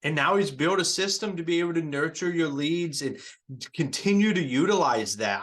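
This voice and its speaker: A man's voice: fairly high pitch, fairly bright tone. A man hosting a podcast, upbeat and enthusiastic, introducing guests and reading his own promotional spots. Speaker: podcast host. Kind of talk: podcast hosting and self-read ad.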